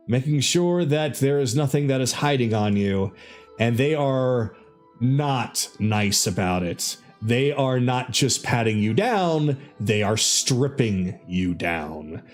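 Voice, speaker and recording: A man runs a tabletop game as dungeon master. He narrates slowly and dramatically with animated pitch, over a faint music bed. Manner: deliberate, dramatic pauses and emphasis.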